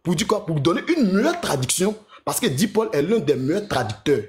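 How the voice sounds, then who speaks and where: A man's voice: high voice, big pitch swings, fairly quick, a little mellow. A man speaking in an online religious debate, repeating himself and hesitating as he makes his point. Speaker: debate panelist.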